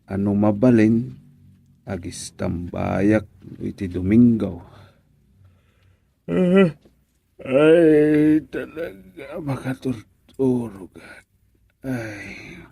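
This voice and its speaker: An actor playing a drowsy, easygoing village man in an Ilocano radio comedy, with drawn-out sighing interjections. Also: mid-range voice, expressive, creaky, mellow, breathy edge, full character voice. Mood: drowsy, musing. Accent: Filipino, Ilocano.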